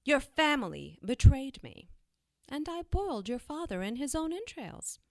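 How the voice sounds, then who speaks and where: A woman's voice: high voice, sing-song, normal speed, no room sound. An actress playing a cruel, mocking, centuries-old witch in an audio drama, taunting and theatrical as she draws out her words. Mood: gloating, vicious.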